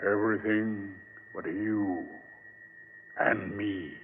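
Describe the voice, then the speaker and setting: An American actor playing the vengeful ghost of a betrayed stagecoach partner in a dramatic 1940s radio horror play. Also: male voice, lowish voice, steady tone, a little hiss or hum. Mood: grim, accusing. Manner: slow, ominous, dramatic. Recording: muffled old radio recording.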